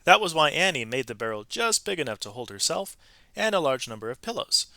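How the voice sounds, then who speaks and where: A man reading a storybook aloud to students in an expressive, emphatic way, with brief explanatory asides to his listeners. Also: on the high side, brisk, no echo. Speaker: read-aloud narrator, teacher reading to students.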